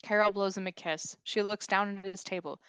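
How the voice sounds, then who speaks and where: A woman with a medium-pitched voice reads scene directions for a comedy table read. It is scripted narration in a dry recording with no room echo. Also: fairly even intonation, fairly quick, a bit bright, clear, resonant tone.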